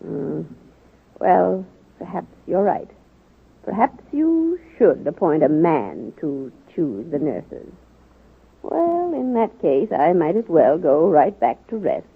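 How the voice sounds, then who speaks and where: Husky, feisty older American woman, old radio. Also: fairly low pitch, sing-song, slow pace, full character voice. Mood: sly, determined. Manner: wry, insistent, crisply argumentative. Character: frail but feisty sixty-year-old woman nursing reformer. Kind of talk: 1940s radio drama acting. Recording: muffled old radio recording, phone-like band.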